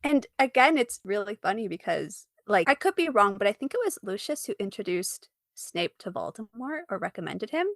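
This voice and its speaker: A woman speaking as an interview guest, an artist chatting casually about her own work in an animated, informal, giggly way. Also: mid-range voice, normal speed, vocal fry, hardly any pauses, dry recording, studio quality.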